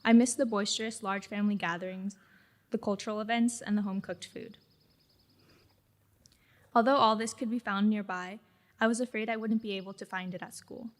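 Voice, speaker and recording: A woman's voice: mid-range voice, fairly even intonation, brisk, long pauses. A teenage girl giving a prepared graduation speech, reading in a reflective, earnest way. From Mexican-American family in California. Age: late teens. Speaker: graduating student speaker.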